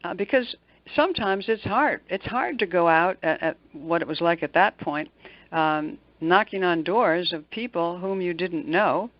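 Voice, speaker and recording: A woman's voice: on the low side, lively intonation, measured pace, no room sound, highs cut off. An older woman giving conversational interview answers as a motivational coach, emphatic and fond of repeating words for stress. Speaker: motivational speaker and executive coach, interview guest.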